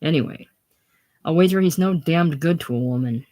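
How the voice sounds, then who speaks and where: A reader voicing a bold, quick-tempered young farm woman, loud and fierce. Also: medium pitch, lightly acted. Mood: petulant.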